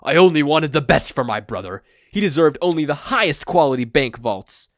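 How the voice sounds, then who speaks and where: A man's voice: fairly high pitch, expressive, fairly quick, dry recording, clean studio sound. A man playing a grandiose, self-deluded male supervillain with a pompous, melodramatic, wordy delivery in a fan fiction reading. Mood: gleeful menace.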